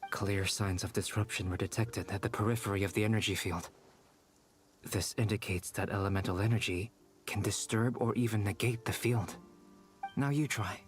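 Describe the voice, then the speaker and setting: An actor playing a calm, analytical young male scholar in a video game, precise and composed as if lecturing. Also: on the low side, moderate pace, natural voice. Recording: game music behind.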